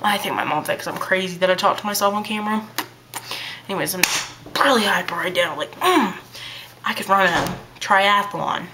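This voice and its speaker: A teenage girl vlogging to her webcam in her bedroom, talking fast and hyper in a childlike baby voice, full of fillers. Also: mid-range voice, background noise. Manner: bubbly, hyper, chatty with many fillers. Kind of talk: casual chat to camera. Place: small bedroom indoors. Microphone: webcam at arm's length.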